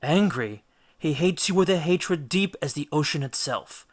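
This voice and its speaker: A man voicing an elderly ghostly oracle, stern and all-knowing, making measured, ominous pronouncements in a storybook. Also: fairly high pitch, lively intonation, pitched above the performer's own voice. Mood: grave, warning.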